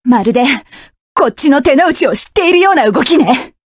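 A woman's voice: high-pitched, lively intonation, fairly quick, dry recording, studio quality. A woman voicing a proud, fierce young woman mech pilot who barks curt, commanding and scornful lines. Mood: tense, wary. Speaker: voice actress. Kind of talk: game voice clip collection.

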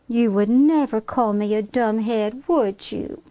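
A woman's voice: a little high, unhurried, warm tone, no room sound, lightly acted. A woman voicing an innocent, stubborn little girl in a storybook, earnest and childlike. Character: stubborn, innocent little girl who believes she's a chicken.

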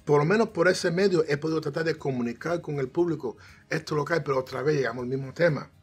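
A middle-aged man, a financial strategist guest, talking in an animated way, mixing in English words and restarting often. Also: on the high side, quick pace, husky, soft background music. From United States, of Cuban parents. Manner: animated, gestures a lot, frequent restarts and English "so". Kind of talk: casual interview talk. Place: TV studio set, seated on armchair.

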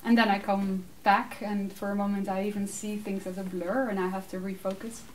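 A Dutch woman in her thirties answering audience questions in a casual, animated way, laughing often; English is her second language. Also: mid-range voice, fairly quick. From Netherlands. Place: small room with curtained backdrop, seated. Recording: distant mic, faint room noise.

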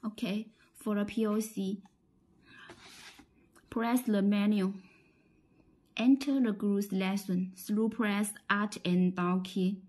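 A woman with a Chinese accent giving a halting, step-by-step instructional product demonstration, often repeating phrases. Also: medium pitch, steady tone, darkish tone, rough recording.

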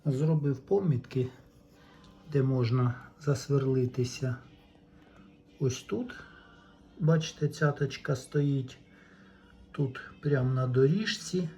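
A man's voice: medium pitch, takes it slowly, long pauses, dark tone, faint music behind. A man talks viewers through a hands-on electronics repair, casually explaining each step without a script. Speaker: electronics repair hobbyist presenting his own video.

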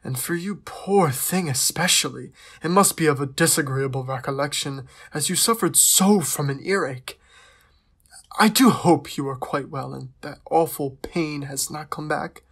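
A man's voice: on the high side, normal speed, fairly bright tone, husky. An actor playing a courteous, lovestruck young royal, reading his letter aloud in a hesitant, wistful way for an audio drama. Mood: apologetic.

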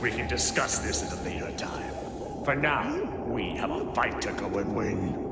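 A man's voice: fairly low pitch, expressive, creaky. A man voicing an arrogant, sinister android villain in an anime fighting game, with pompous, drawling delivery. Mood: irritated. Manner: pompous, drawling, deliberate menace. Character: arrogant, sinister male android villain, proud perfect being. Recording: echoing voice under loud game music.

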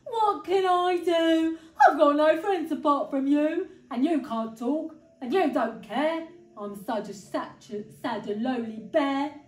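A woman voicing a great big, lonely, silly storybook bear with a whining, drawn-out delivery. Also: high-pitched, a little mellow. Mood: tearful. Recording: roomy indoor echo.

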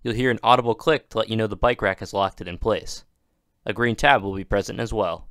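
A man giving scripted, even-toned narration for a product installation video, with steady instructional delivery. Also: lowish voice, a little fast, smooth, no room sound, studio quality.

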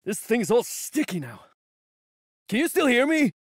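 A man's voice: very high voice, sing-song, unhurried, no echo, studio quality, full character voice. A man voicing a jittery, unhinged criminal bluffing as a guard in a video game, hesitant and oddly morbid. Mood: nervous bluffing. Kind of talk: game voice lines over radio.

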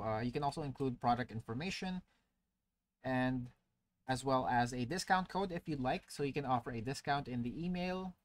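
A man giving a casual, step-by-step software tutorial as a voice-over to a screen recording, explaining with frequent filler words. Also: medium pitch. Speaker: tutorial presenter.

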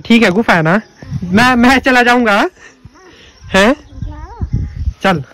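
A young man talks excitedly into his phone camera, keeps calling his friend bhai, and jokes through a cave challenge. His voice sits high for a man. He records outdoors on a green hillside and inside a cramped cave. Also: slow pace, rough recording. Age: young adult. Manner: excited, chatty, says bhai a lot. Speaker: vlogger. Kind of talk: casual challenge vlog. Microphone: phone camera at arm's length.

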